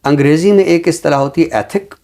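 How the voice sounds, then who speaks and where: A man speaking in a measured, deliberate and earnest way, explaining his views as a talk show commentator with a literary vocabulary. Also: fairly high pitch, warm tone.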